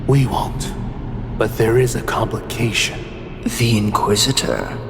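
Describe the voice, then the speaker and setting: An actor playing a cautious, menacing male conspirator in a fantasy audio drama, speaking in weighty pauses. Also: lowish voice, fairly even intonation, vocal fry. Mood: brooding, menacing. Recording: music under the dialogue.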